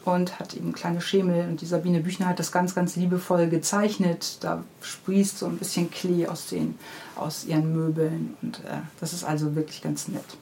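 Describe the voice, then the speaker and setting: A woman's voice: lowish voice, little pitch movement, normal speed, mellow. A middle-aged woman, an author being interviewed, explaining her stories in a friendly, chatty and detailed way. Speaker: interviewed children's book author. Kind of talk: casual interview, explaining and storytelling. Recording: clearly audible background noise.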